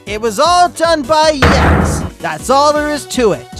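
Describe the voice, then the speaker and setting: A man voicing a cocky aerobics instructor defendant, taunting in a slow, mocking drawl. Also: very high-pitched, big pitch swings, a bit bright, faint music behind, heavily acted. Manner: taunting, drawn-out mocking delivery.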